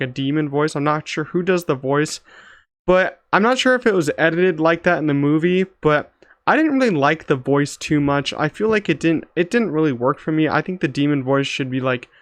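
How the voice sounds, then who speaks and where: Young man, slightly high smooth voice, casual vlog reviewer, loose slangy phrasing, close dry sound. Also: a bit bright, dry recording. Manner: loose, hedging, slangy, frequent "like". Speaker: movie reviewer, channel host.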